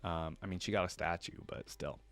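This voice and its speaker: A man with a fairly low voice reads a story aloud for a reaction video. His telling is expressive and slips into casual commentary. The sound is dry, with little room around it. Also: brisk, no echo.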